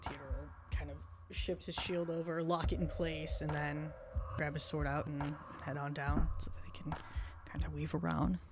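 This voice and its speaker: A woman talking casually and haltingly as she describes her character's moves in a tabletop roleplaying game. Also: deep voice, little pitch movement, noisy background.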